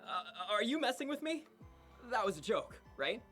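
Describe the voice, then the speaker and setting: A man's voice: sits high, very animated, moderate pace, bright, forceful tone, faint music behind, full character voice. A man playing an earnest, defensive, relentlessly upbeat boy in a visual novel, easily wounded. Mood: hurt, confused.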